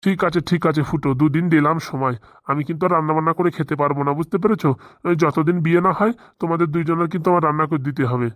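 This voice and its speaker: A man plays a bossy village gentleman itching to get married in a comic cartoon. He talks fast and folksy, rambling along in dialect. The sound is close and dry. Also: fairly high pitch, no room sound, natural voice. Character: eager marriage-hungry village gentleman, bossy boss to two helpers. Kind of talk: comic Bengali cartoon dialogue.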